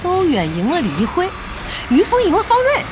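A woman playing an excitable, impatient young e-sports fan in an audio drama, chatty and exclamatory. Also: very high-pitched, brisk, over loud music, full character voice. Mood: excited.

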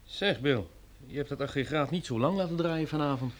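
A man's voice: a little high, brisk, a little hiss or hum, lightly acted. Een man die in een hoorspel een praktische huisgenoot speelt die voorzichtig maar volhardend hardop meedenkt. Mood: suggesting. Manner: tentative but insistent, reasoning aloud. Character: persistent male housemate offering eager practical suggestions. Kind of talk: radio drama acting.

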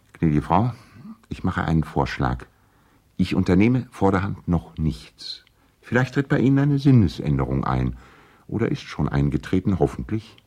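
A man's voice: very deep voice, a little mellow, husky, heavily acted. An actor playing a courtly, concerned lawyer and family friend, reading his letter emphatically in a radio play. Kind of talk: 1970s German radio play, letter monologue.

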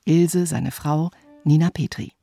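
A woman reading a scripted radio drama credits announcement in a measured, even manner, one item after another. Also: deep voice, no room sound. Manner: measured, listing names evenly. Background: music.

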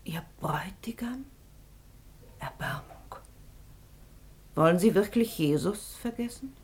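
A woman's voice: medium pitch, animated, slow pace, darkish tone, slightly rough, exaggerated voice. An actress playing a pious, easily scandalised lady's companion, fussy and exclamatory, in a radio play. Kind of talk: classic radio play acting.

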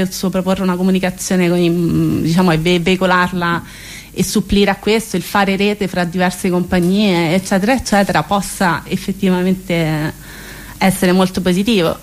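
A woman speaks as a radio interview guest, explaining a project in long sentences while searching for words. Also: medium pitch. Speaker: guest presenting a theatre residency project.

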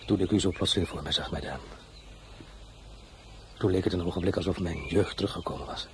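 An actor playing a proud, weary French emperor after his defeat, speaking in a measured, brooding way with flashes of bitterness. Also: low voice, slight background noise, lightly acted. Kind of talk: radio play acting.

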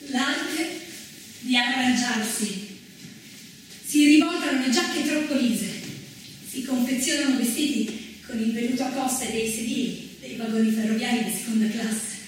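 A woman performing as an impassioned theatrical narrator of women's history, declaiming with dramatic pauses on a stage, recorded from a distance. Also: a little high, close to an everyday voice. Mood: grave, measured. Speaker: stage actress in a two-woman theatre play. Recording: echoey stage, distant mic, faint noise.

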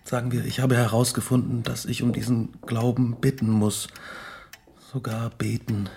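Ein Schauspieler spielt in einem Hörspiel einen gequälten, reumütigen jungen Wissenschaftler, der ernst und grüblerisch in literarischer Sprache erzählt. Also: male voice, medium pitch, level tone, moderate pace, warm tone, a little hiss or hum, close to an everyday voice. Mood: subdued, weary. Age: young adult. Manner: brooding, literary, earnest. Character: haunted, remorseful young male scientist. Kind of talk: radio drama narration and dialogue.

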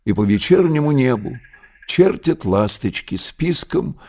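A man reads literary prose as a radio storyteller. His voice is dark and husky, with a lively, wide-ranging melody that rises and falls as he narrates. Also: mid-range voice, unhurried.

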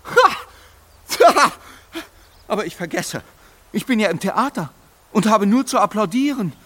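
Man acting as scornful young nobleman, highish voice, animated swings, indignant, sarcastic, theatrical audio drama. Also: slight background noise, exaggerated voice. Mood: bitter, mocking.

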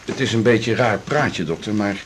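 A man's voice: on the low side, normal speed, noisy background, natural voice. An actor playing a worried, plain-spoken male patient of about fifty in a radio drama, hesitant and embarrassed. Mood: embarrassed, anxious. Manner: hesitant, stammering, embarrassed, colloquial. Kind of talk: broadcast radio drama acting.

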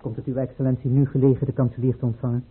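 An actor playing a deferential manservant in an old-fashioned radio drama, speaking politely and formally. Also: male voice, medium pitch, flat delivery, very fast, slight background noise, natural voice. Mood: formal, announcing. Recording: muffled, phone-like old radio recording.

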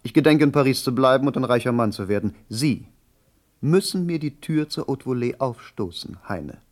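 A man performs a scheming social climber in a radio play. The voice sits at an average male pitch. The speech is formal and ornate, politely calculating as it presses for favours. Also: animated, normal speed, darkish tone, natural voice. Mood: demanding, politely calculating.

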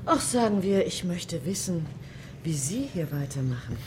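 An actress playing a cool, sceptical journalist from Paris in a radio crime drama, probing and assertive. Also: female voice, fairly low pitch, big pitch swings, normal speed, noisy background.

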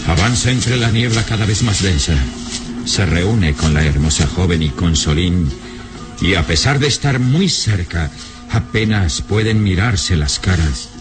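A man's voice: low-pitched, lively intonation, a little slow, music in the background. A man narrating an adventure radio drama as a solemn storyteller, in a dramatic scripted style. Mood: solemn, suspenseful.

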